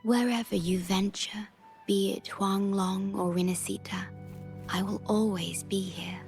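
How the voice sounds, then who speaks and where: A woman voicing a serene, mysterious guardian in a video game, soft and breathy, tender and solemn. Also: medium pitch, steady tone, unhurried, close to an everyday voice. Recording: music behind the voice.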